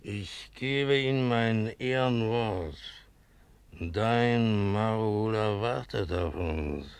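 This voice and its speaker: A man's voice: on the low side, extremely slow, clear, resonant tone, no room sound. An actor playing an evasive, cornered colonial trader in a radio drama, theatrical and insistent, repeating his phrases. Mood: mocking, stalling. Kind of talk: German radio drama acting.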